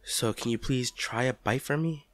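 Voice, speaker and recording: A man's voice: medium pitch, normal speed, dry recording, natural voice. A man playing a devoted, protective partner in an intimate audio drama, tender and reassuring as he comforts an injured loved one. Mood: gentle, coaxing. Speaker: audio roleplay performer.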